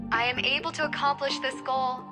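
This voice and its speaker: A polite and eager female AI, played by a woman speaks in a high voice with long reverb. Her phrasing is formal, literal and exact, like an audio-drama ship computer, with prominent music behind her. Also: fairly even intonation, a little fast, close to an everyday voice. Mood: helpful, precise. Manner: formal, precise, uncontracted phrasing.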